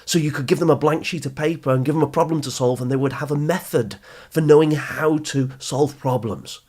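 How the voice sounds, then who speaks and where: Husky British man giving emphatic advice. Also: on the high side, a little fast, darkish tone. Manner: emphatic, explanatory, with rhetorical questions and repetition. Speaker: podcast host and study skills coach. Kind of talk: motivational advice podcast, addressing listeners directly.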